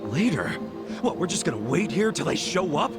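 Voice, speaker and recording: An earnest, hot-headed young ex-con. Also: male voice, a little high, big pitch swings, normal speed. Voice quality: impulsive, earnest. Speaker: ex-convict yakuza. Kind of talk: video game cutscene dub. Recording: game cutscene with loud music.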